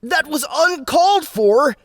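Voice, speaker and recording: Male narrator as cheeky young villain gamer, audiobook; excitable, pitched up, dry studio sound. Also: slow, dry recording, full character voice. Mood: outraged yelp. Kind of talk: audiobook character acting.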